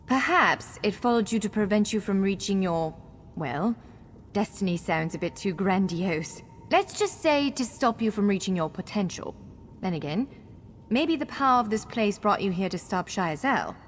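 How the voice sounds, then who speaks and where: A woman's voice: mid-range voice, expressive, moderate pace, slight background noise, close to an everyday voice. A woman playing an earnest, encouraging female elf scholar who guides a hero in a fantasy video game. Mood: musing. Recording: long hall reverb, faint music.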